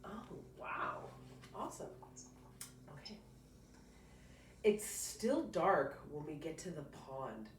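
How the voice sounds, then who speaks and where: Slow, low-voiced woman reading aloud in class. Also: sing-song. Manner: encouraging, explains and prompts with questions. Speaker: reading teacher. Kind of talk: read-aloud lesson with questions to a child. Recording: roomy, distant mic, faint noise.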